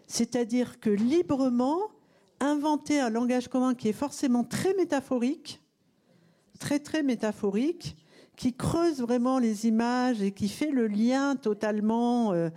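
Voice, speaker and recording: A woman author speaking on a literary panel with a warm, mellow tone. She talks with enthusiasm and often pauses mid-sentence to find the right word. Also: fairly high pitch. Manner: enthusiastic, searching for words. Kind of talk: panel discussion.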